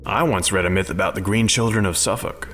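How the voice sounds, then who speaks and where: Very deep-voiced bookish advisor. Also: male voice, brisk, a bit bright, a little hiss or hum. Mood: earnest, storytelling. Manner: matter-of-fact, explanatory. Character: unflappable, bookish male advisor offering odd theories. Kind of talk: scripted sci-fi audio drama.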